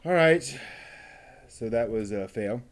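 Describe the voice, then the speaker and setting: A man's voice: on the low side, expressive, slow pace. A man building a model kit on a live stream, muttering to himself and chatting casually with viewers as he works.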